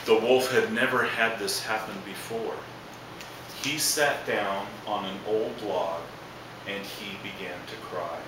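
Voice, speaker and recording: A man's voice: lowish voice, fairly even intonation, takes it slowly. A man reading a children's storybook aloud as a storyteller, adding asides. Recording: echoey, distant, noisy room recording.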